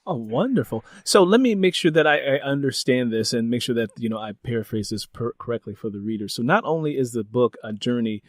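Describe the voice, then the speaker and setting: A man's voice: medium pitch, animated, brisk, few pauses, dry recording. Man interviewing, dry close sound. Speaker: interviewer, host. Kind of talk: conversational interview.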